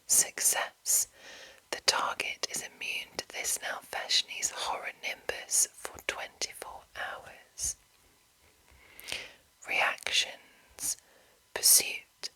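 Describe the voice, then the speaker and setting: Woman doing whispered asmr, super breathy n crisp-edged bright hiss, takes her time. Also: drawn-out words, pauses often, poor audio. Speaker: ASMR host. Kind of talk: whispered ASMR reading of a game manual with casual asides.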